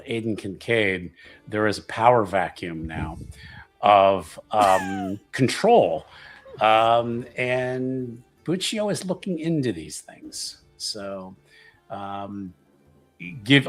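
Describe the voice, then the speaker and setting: A man's voice: lowish voice, lively intonation, takes it slowly, faint music behind. A man playing a tabletop roleplaying game, casually describing his character's plans as he thinks aloud.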